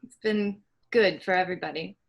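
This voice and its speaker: A woman reading the part of Candace, a caring farm wife who coaxes gently, with a Kentucky accent, in a staged play reading. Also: fairly low pitch, normal speed, vocal fry. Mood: coaxing. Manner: gentle, coaxing.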